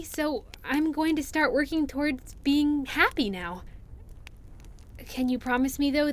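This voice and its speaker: High-voiced, hesitant young woman, acted by a woman. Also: lightly acted. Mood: earnest, tender. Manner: hesitant, rambling, earnest. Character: shy, anxious, affectionate young friend by a bonfire. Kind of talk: interactive audio roleplay acting.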